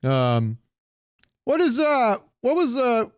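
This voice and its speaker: A man's voice: very high voice, huge pitch swings, slow pace, clear, resonant tone, no room sound, clean studio sound. A man chatting casually as a podcast co-host in a rambling way, swearing now and then and asking questions. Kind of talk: casual chat about comics and movies.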